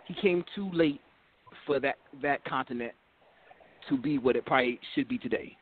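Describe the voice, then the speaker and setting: A man in a casual group discussion, talking haltingly with many restarts and repeated words. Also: mid-range voice, slight background noise. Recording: tinny phone-like line.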